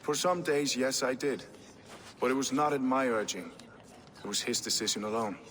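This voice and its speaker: An actor playing a commanding, measured Norse king in a video game, speaking formally and deliberately. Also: mid-range voice, fairly bright tone, a little hiss or hum, natural voice. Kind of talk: dramatic scripted game acting. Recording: echoey hall with music underneath.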